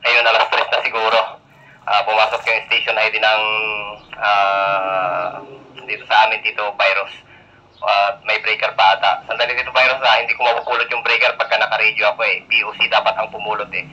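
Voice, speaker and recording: A man's voice: fairly low pitch, level tone, a little fast, pushed and bright. A man chatting informally as an amateur radio operator, using radio call phrases over a two-way radio link. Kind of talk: casual two-way radio chat. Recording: dull lo-fi two-way radio with noise.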